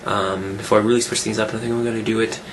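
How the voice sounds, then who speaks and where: A man rambles through a casual update, his voice roughened by a cold he is getting over. He sits on the low side and keeps circling back to restart his sentences. A steady hiss of noise runs under the recording. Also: rapid-fire. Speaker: fitness vlogger. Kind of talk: casual weekly update vlog.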